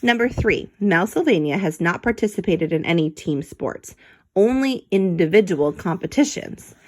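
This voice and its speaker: A woman reading a children's book aloud as an expressive narrator, in a playful, theatrical way. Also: lowish voice, normal speed. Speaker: storybook reader.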